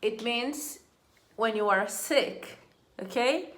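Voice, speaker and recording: A woman's voice: on the high side, takes it slowly, a bit bright. A woman teaching an English grammar lesson, patiently repeating example sentences and explaining each one. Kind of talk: slow, deliberate classroom explanation. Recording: echoey room.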